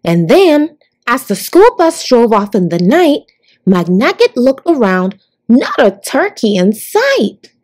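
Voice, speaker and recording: Female storyteller for children's read-alouds: highish voice, very lively intonation, addressing young listeners deliberately. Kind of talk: expressive picture-book read-aloud for children.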